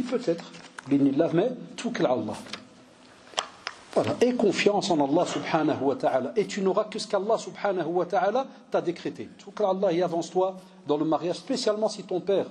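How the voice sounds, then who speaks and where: Belgian imam, lively storytelling lecture. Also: male voice, a little high, a little hiss or hum. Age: 40s. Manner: lively storytelling, mixing in Arabic and Moroccan phrases. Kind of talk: religious lecture. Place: mosque cultural center hall.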